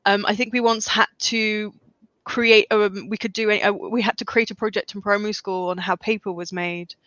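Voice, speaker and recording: A woman's voice: mid-range voice, fairly even intonation, moderate pace, fairly bright tone, dry recording. A young woman guest in a casual chat, self-deprecating and laughing, with many fillers. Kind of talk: casual video-call chat. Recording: dull dry lo-fi audio.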